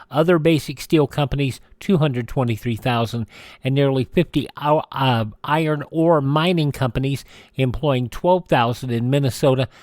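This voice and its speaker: An American man hosting a radio show, reading news items in a measured way with occasional stumbles and self-corrections. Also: medium pitch, a little mellow, no echo, clean studio sound.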